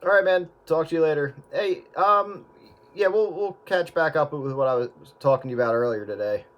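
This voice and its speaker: Easygoing man on CB radio through loud static. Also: fairly high pitch, a little fast, highs cut off. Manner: relaxed, friendly, hesitant with fillers. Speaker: host, CB radio operator. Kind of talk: casual CB radio chat. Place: at home in his room.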